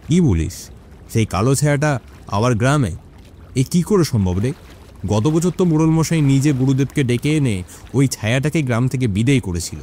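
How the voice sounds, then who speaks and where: A man's voice: medium pitch, animated, fairly quick, warm tone, slight background noise, lightly acted. A man playing a grumbling, suspicious old village fisherman in a cartoon, folksy and chiding. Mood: puzzled, suspicious. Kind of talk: Bengali cartoon story dialogue.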